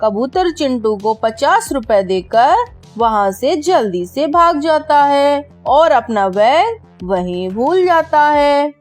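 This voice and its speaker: A woman narrator tells a children's cartoon story in a high voice at a slow, measured pace. The sound is dry, with faint music behind it. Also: dry recording.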